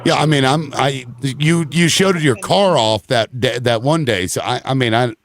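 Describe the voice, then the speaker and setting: A man hosting an online panel chat, talking in halting, agreeable bursts with many restarts. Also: mid-range voice, dry recording. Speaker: panel host.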